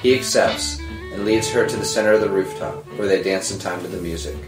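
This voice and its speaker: A man's voice: sits low, a little fast. A man narrating scripted screenplay directions at a table read. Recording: roomy echo under dramatic score music.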